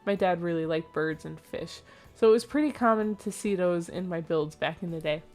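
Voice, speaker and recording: A woman's voice: fairly low pitch, moderate pace, a little mellow, faint music behind. A young woman narrating her own building video in a casual, rambling way, with a slightly nasal, sleepy voice. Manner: chatty, rambling, with frequent fillers and small laughs. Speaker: Sims builder and channel host. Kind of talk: casual voice-over commentary on a speed build.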